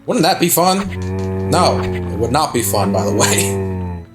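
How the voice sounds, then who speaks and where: A man's voice: deep voice, lively intonation, slow, loud music behind the voice. A young adult man casually commenting on a game as he plays, joking, swearing freely and laughing at himself. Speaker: let's play host.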